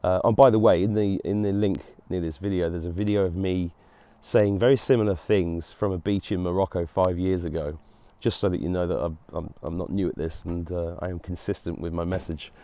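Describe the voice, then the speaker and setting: A man's voice: low-pitched, steady tone, a little fast, hardly any pauses, warm tone. A middle-aged man hosting his own show, talking earnestly and rambling, with many restarts. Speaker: host. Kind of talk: solo monologue to camera.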